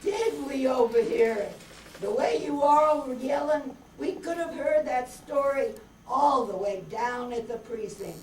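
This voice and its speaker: A woman's voice: high voice, measured pace, darkish tone. A woman playing a scolding, no-nonsense police sergeant in an amateur old-time radio play. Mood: exasperated. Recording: echoey, distant, noisy room recording.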